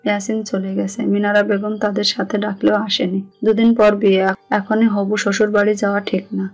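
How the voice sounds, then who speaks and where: A woman's voice: medium pitch, little pitch movement, normal speed, darkish tone. A woman with a Bangladeshi accent reading scripted narration of a romantic story. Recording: music under the voice.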